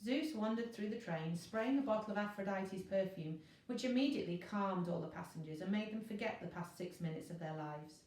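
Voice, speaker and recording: Female teacher storyteller: expressive narration of children's fiction, distant, very reverberant room. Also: medium pitch, a little fast, hardly any pauses. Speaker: teacher reading a class novel. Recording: very echoey room, distant mic.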